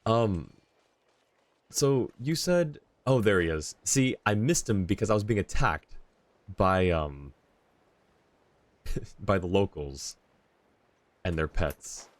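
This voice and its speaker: A man with a low voice and a dry, close sound talks through live gameplay. He is easygoing and casual, often half muttering to himself as he chats with his viewers. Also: animated, slow, pauses often, no echo. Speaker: live game streamer. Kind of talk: casual live gameplay commentary, chatting with viewers and reading dialogue aloud.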